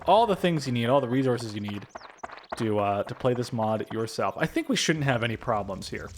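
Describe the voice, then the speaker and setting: A man hosting a let's play with casual, rambling commentary and jokey exaggeration. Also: a little high.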